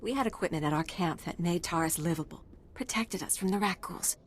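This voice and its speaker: Gentle, weary settler played by a woman. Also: fairly low pitch, brisk, slight background noise, close to an everyday voice. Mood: weary, pleading. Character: weary, gentle female settler, hopeful after loss. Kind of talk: video game voice acting.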